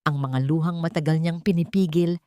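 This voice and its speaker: A woman tells a dramatic story from a script. Her voice sits low and has a dark, mellow tone. The sound is dry, with no room around it. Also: steady tone, moderate pace, clear, resonant tone, dry recording.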